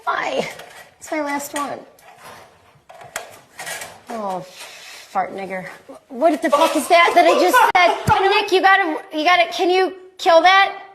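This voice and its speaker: A flustered woman cursing in a very high voice, speaking slowly. The clip has clear background noise behind her. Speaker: TV personality in a played clip.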